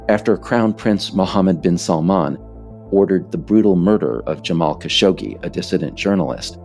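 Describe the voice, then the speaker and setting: Low, creaky American male narrator, lively delivery. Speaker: author and narrator reading own book. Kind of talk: scripted political narration.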